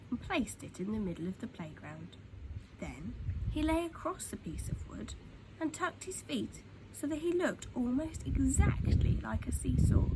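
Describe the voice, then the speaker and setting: A woman narrates a children's storybook in a high, very animated voice. She is dramatic and expressive, hushing for suspense. There is clear noise behind her. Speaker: storytime reader.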